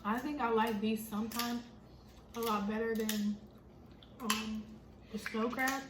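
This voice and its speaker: A woman's voice: a little high, fairly even intonation, measured pace. A young woman from California chatting casually and playfully while eating on camera. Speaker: mukbang co-host. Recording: echoey room with clear noise.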